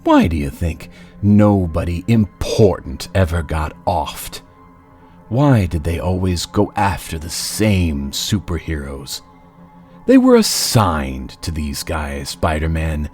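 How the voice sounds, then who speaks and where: A man's voice: low-pitched, sing-song, slow pace, a little mellow, exaggerated voice. A man voicing a gloating, menacing, talkative supervillain in a comic book dub, with a taunting, condescending drawl. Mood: sly, expository. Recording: faint music behind.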